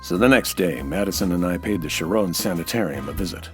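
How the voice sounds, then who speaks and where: A low-voiced, wry American tough guy played by a man narrates and talks like an old radio noir sleuth. He is dry and slangy, with music playing clearly behind him. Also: brisk, natural voice. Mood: wry narrating. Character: cool, wry American nightclub owner turned hardboiled sleuth.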